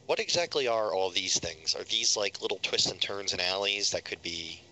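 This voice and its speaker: Deep voiced guy with a bright forceful tone, chatting through plans in a tabletop game. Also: lively intonation, moderate pace, low-quality recording. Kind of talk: casual in-game planning chat.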